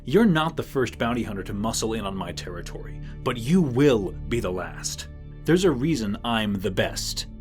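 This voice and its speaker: A man's voice: on the low side, very animated, normal speed. A man plays a bitter, scheming bounty hunter from an audio drama. He is dour and threatening, with sarcasm in lines that rise and fall widely. Music plays behind him. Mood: bitter, menacing.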